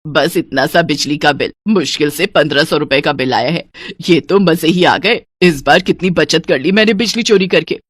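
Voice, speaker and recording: A woman's voice: low-pitched, normal speed, no room sound, studio quality, pitched below the performer's own voice. A woman voicing a stingy, scolding middle-aged mother-in-law in a cartoon moral story, bossy and grumbling. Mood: scheming.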